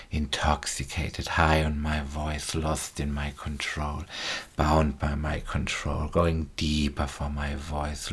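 A man speaking slowly and softly as a hypnotist, repeating phrases and drifting into whispers. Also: extremely low pitch, husky. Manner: slow, repetitive, soft-spoken, drifting into whispers. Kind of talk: guided hypnosis recording.

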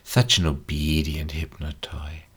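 Male hypnotist, very low husky voice, flat delivery, slow soft repetition with whispers. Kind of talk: guided hypnosis recording.

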